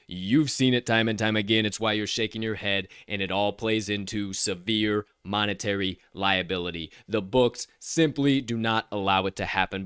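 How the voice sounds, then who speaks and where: A man's voice: on the low side, fairly bright tone, smooth, no room sound, studio quality. A man co-hosting a sports betting show, giving picks in an emphatic, preachy way and repeating catchphrases. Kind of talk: energetic betting picks chat.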